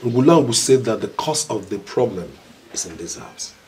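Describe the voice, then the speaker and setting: A man plays a worried messenger who delivers troubling news earnestly. His voice is on the low side. Noticeable background noise and ambience surround him. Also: lively intonation, normal speed, lightly acted. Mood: grave. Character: worried male messenger bringing grave news.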